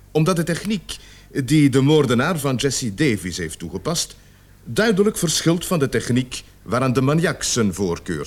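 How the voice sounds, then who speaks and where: A man acting in a Dutch radio play as a gruff, authoritative police sergeant with deliberate, emphatic delivery. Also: mid-range voice. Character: gruff, authoritative male police sergeant leading a murder investigation. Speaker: radio drama actor.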